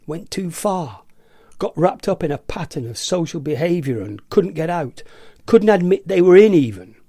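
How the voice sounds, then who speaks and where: Casting: male actor as smugly defiant eccentric man, higher-pitched, theatrical radio-play monologue, close dry sound. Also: mellow, no room sound. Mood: earnest, bitter. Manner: theatrical, emphatic, mimics nagging voices. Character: indignant, eccentric male rebel against noisy gadgets, smugly defiant. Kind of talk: radio play monologue, amateur dramatic reading.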